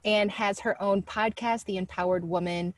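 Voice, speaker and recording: A woman's voice: mid-range voice, steady tone, normal speed, no echo. A woman hosting an interview in a casual, conversational style. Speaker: interviewer, organization founder.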